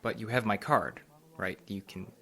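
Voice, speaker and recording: A man playing a concerned, fatherly support group facilitator who speaks gently and earnestly. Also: lowish voice, fairly even intonation, moderate pace.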